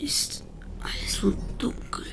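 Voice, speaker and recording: An actress in a radio drama playing a dying woman haunting a memory, in a breathy, creaky whisper. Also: slow pace, over loud music, lightly acted. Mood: frightened, fading.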